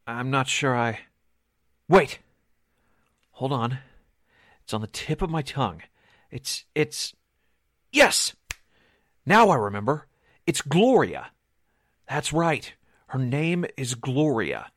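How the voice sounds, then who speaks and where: An actor playing a hesitant, nervous witness in a 1940s-style radio drama, halting and hedging as he confides. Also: medium pitch, very animated, a bit bright, dry recording, studio quality, full character voice. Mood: straining to remember.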